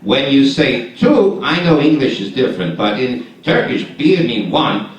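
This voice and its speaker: A man's voice: medium pitch, very animated, measured pace. A man voicing a chatty, ingratiating male know-it-all in a live puppet show, coaxing the audience to join in. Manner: eager, coaxing, leads the audience in call-and-response. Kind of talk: live shadow puppet theatre. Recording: echoey hall, distant mic.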